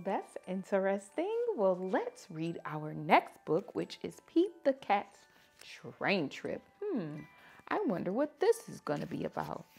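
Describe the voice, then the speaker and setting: A woman reading picture books aloud to children like a teacher, with animated, drawn-out emphasis. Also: lowish voice. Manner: animated, drawn-out emphasis, asking listeners questions. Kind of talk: expressive children's story read-aloud.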